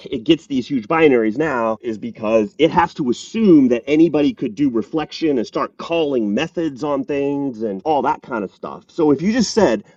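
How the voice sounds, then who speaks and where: A man as a guest on a tech podcast, talking in an animated, emphatic way. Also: medium pitch, dry recording, far from the mic, dull audio. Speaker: podcast guest, software developer. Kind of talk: casual interview chat.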